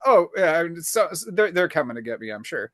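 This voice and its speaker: A man's voice: a little high, fast talker, dry recording, clean studio sound. A young adult man co-hosting a podcast, telling a frustrated story in casual chat, acting out the people he quotes and swearing freely. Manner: animated storyteller who acts out the people he quotes; frequent swearing, wry and exasperated. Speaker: podcast co-host.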